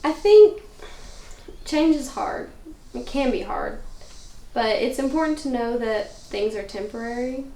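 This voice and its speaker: Teenage girl woman reading: high voice, wise, earnest, hopeful cheerleader; table read, echoey room. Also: lively intonation, measured pace, pauses often, mellow. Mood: earnest, reassuring. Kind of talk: cold table read of a film script. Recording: roomy echo with faint noise.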